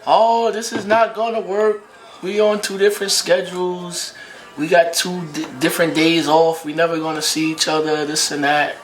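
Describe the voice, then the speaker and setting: A man's voice: high voice, little pitch movement, normal speed, low-quality recording. An American man chatting casually as a co-host, with an emphatic, teasing way of talking. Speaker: co-host, boyfriend joining the story. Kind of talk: casual chat.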